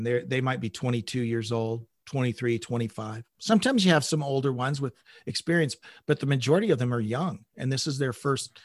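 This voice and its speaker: A middle-aged man talking conversationally as an interview guest, in halting bursts with repeated words. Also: mid-range voice, no echo, clean studio sound. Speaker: interview guest, manager at a global company.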